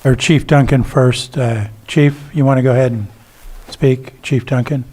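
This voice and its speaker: Man chairing a meeting, medium pitch. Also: steady tone, a little slow, darkish tone. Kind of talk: moderating a public meeting.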